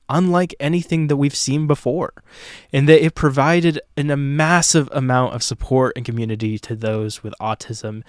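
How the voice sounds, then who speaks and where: Slow, earnest male podcast host. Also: medium pitch, clean tone, no room sound, clean studio sound. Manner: measured, earnest, reflective. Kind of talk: podcast commentary.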